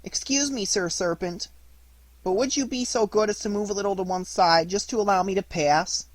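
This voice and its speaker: A man's voice: moderate pace, dry recording, close to an everyday voice, low-quality recording. A man voicing an earnest, impulsive young puppet boy in a storybook, speaking in a plaintive, pleading manner. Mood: timid, polite. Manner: plaintive, earnest, high-pitched delivery.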